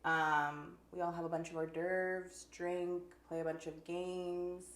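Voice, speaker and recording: A woman in her twenties co-hosting a casual chat, relaxed and rambling with lots of fillers. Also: on the low side, slow pace. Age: 20s. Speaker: co-host. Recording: echoey room with faint noise.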